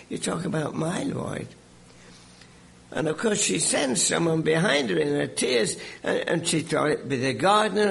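Older male preacher, English-Canadian accent; high, gravelly, exclamatory storyteller. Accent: English mixed with Canadian. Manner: animated, exclamatory storytelling with repeated words. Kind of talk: sermon.

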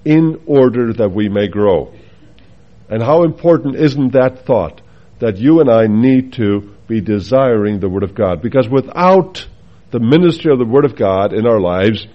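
A man's voice: medium pitch, highs cut off. A man from the Faroe Islands with a Faroese accent, preaching a sermon earnestly, with asides and rhetorical questions to the congregation.

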